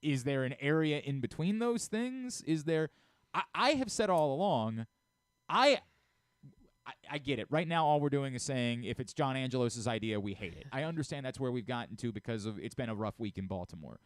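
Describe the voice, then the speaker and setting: A man's voice: medium pitch, lively intonation, normal speed, smooth, dry recording. A man hosting a sports radio talk show from a studio, giving emphatic commentary and repeating points for stress.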